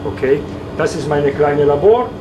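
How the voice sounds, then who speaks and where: Italian ice cream maker, broken German with Italian accent, proud, noisy workshop hum behind. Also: male voice, on the high side, lively intonation. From Italy. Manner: halting German, proud and moved. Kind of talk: interview.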